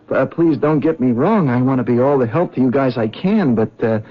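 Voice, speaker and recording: A man's voice: mid-range voice, normal speed, lightly acted. An actor playing an honest, talkative male cab driver in a 1970s radio drama. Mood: nervous. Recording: dull old radio broadcast.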